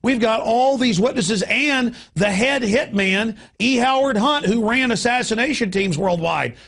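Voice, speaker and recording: A male talk radio host with a high, raspy voice that grinds into heavy gravel. He delivers opinionated political talk emphatically, ranting and piling one example on another. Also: measured pace, a bit bright.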